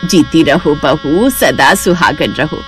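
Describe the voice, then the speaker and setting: Woman as a gentle, lower-voiced older mother-in-law. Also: expressive, slow, soft background music.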